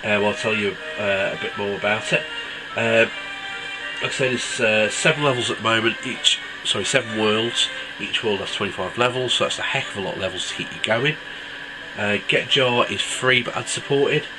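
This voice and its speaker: Bunged up guy, lowish voice, rambly game review, loud game music behind. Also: normal speed, low-quality recording. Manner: relaxed, rambling with many ums. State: congested from a cold. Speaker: app reviewer. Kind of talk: casual tech review.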